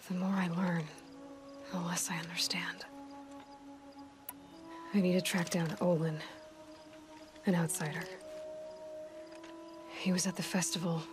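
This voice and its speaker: A young huntress in a video game, grieving yet resolute. Her voice is lowish, soft and breathy, and she speaks very slowly in short, hushed, halting phrases. Prominent music plays behind her. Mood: puzzled, reflective, then grim, determined. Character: grieving, resolute young female outcast hunter. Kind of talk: naturalistic video game acting, intimate monologue.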